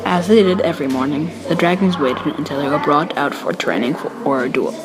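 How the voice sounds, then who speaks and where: A woman's voice: sits low, brisk, loud music behind the voice, poor audio. A woman reading scripted fantasy story narration as its narrator. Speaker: author and narrator.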